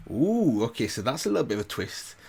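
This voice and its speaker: A man's voice: medium pitch, sing-song, fast talker, creaky, music in the background. A British man giving casual reaction commentary, thinking aloud in a tentative way. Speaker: reaction video host.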